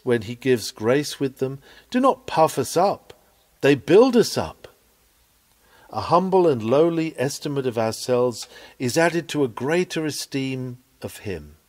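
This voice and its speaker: A man reading a written sermon aloud in an earnest, oratorical manner with measured phrasing. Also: a little high, darkish tone. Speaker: sermon reader.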